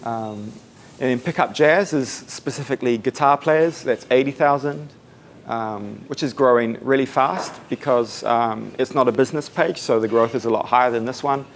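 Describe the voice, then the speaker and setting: A man in his twenties with a New Zealand accent, giving a casual, soft-spoken talk to a meetup audience, with many fillers. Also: medium pitch, normal speed, slight background noise. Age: 20s. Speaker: speaker at a meetup. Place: coworking space event room.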